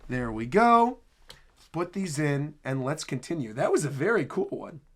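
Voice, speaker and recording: Male reaction host, higher-pitched, very animated delivery, casual and excitable commentary. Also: normal speed. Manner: excitable, exclaiming. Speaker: host reacting to game trailers.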